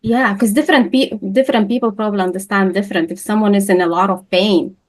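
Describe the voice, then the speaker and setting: A woman at an ordinary mid pitch with a Lithuanian accent, hosting a relaxed conversation with a friend. She is middle-aged and speaks in a friendly, agreeable way. She fills in often with short words of agreement. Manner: friendly, affirming, quick back-channel responses. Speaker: podcast host. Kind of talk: casual interview chat.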